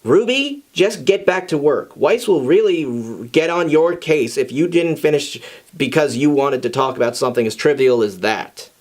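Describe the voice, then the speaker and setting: A sensible teenage schoolboy, read out by a man, with a slightly high male voice. He sounds exasperated, firm and dismissive, like the responsible one in a fan fiction story. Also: animated, normal speed, darkish tone. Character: sensible, exasperated teenage boy student, supervising friends.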